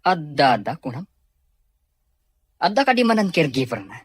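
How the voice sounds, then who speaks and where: A man's voice: on the high side, sing-song, moderate pace, husky, dry recording, clean studio sound. An actor playing a sneering, domineering male cousin in an Ilocano radio drama, mocking and haughty.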